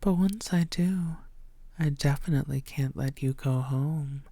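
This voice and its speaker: Lady doing a unhinged vampire girlfriend for asmr roleplay, low-key menacing n possessive, gravelly fry, breathy whispery bits, super dry closeup. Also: mellow, dry recording. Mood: teasing, sinister. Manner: slow, teasing, breathy half-whispering. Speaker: audio roleplay voice actor. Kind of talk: intimate ASMR roleplay acting.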